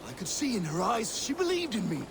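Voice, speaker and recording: Older weathered warrior, gravelly, animated wistful storytelling, video game, loud water noise behind. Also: male voice, high-pitched, brisk. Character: rueful, weathered older Viking warrior telling his tale.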